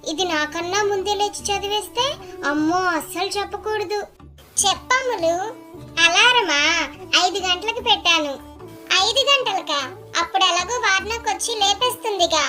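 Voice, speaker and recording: A woman voicing a childlike, gossipy, competitive schoolgirl in a cartoon story. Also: very high-pitched. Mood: sly, scheming, then outwardly friendly. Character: chatty, competitive hostel schoolgirls, scheming friends. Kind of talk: acted cartoon story dialogue.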